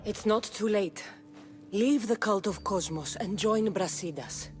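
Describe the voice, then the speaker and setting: A woman's voice: mid-range voice, measured pace, soft background music, close to an everyday voice. A woman playing a bold, wry young Greek mercenary in dramatic video game dialogue. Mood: wry, persuasive.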